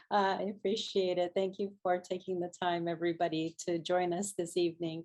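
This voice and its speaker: A middle-aged woman from Hawaii gives a lecture over a video call. Her voice sits a little low, and she talks in a halting way, filling gaps with ums and restarting words as she goes. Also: a little mellow. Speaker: guest lecturer, architect.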